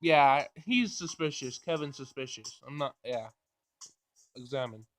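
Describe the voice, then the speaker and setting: A man hosting a gaming video, casually thinking out loud in a tentative way as he plays and reads. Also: medium pitch, a little slow, faint music behind. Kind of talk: casual let's play commentary and read-aloud game text.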